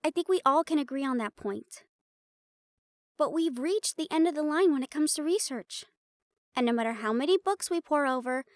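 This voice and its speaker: A woman's voice: high voice, no room sound. A woman playing a playful, sarcastic and tired young team member in a fantasy audio drama, talking casually. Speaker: voice actor in an audio roleplay. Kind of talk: fantasy audio drama roleplay, conversational acting.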